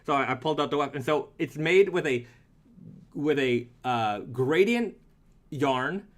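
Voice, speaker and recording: A man's voice: on the high side, expressive, measured pace. A man chatting casually as a live stream host, talking through products in a rambling, easygoing way.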